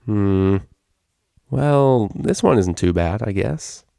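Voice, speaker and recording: A man's voice: fairly low pitch, expressive, takes it slowly, creaky, dark tone, dry recording, clean studio sound, heavily acted. A man voicing a brash female unicorn DJ in a story, blunt, slangy and easily flustered. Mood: grumbling.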